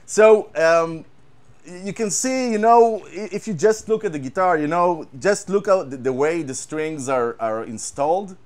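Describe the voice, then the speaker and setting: A man with an Israeli accent, a guitar tech, talking casually and haltingly, repeating words and using many fillers. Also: a little high, darkish tone. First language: Hebrew. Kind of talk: casual show-and-tell chat.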